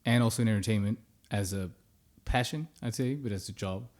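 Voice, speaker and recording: A man's voice: lowish voice, a little fast. A man in his thirties chatting casually as a podcast guest, in an informal, easygoing way with frequent laughter. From born in the United States, grew up in many countries. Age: 30s. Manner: laughs often, relaxed and informal. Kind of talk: casual chat.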